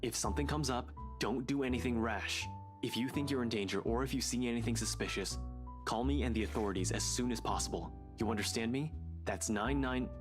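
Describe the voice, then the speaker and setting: A woman's voice: rumbling low, a little fast, pitched below the performer's own voice. A woman voicing a serious young man who gives firm warnings. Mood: stern. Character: stern serious young man, protective detective type. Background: game music.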